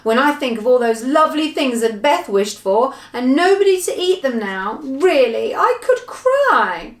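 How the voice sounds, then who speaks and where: A grumbling young storybook boy, played by a woman, easily disgusted and full of exclamations. The voice is high and very animated, with room echo. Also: fairly quick, recorded from a distance.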